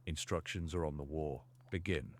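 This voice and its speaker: A man playing a stern, sardonic potions teacher in a fan audio drama, speaking in a deliberate, condescending way. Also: rumbling low, a little hiss or hum. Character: stern, sardonic male potions master, cold and exacting.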